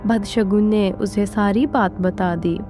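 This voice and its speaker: A woman's voice: medium pitch, steady tone, takes it slowly. A woman narrating a scripted moral tale as a storyteller. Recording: faint music behind the voice.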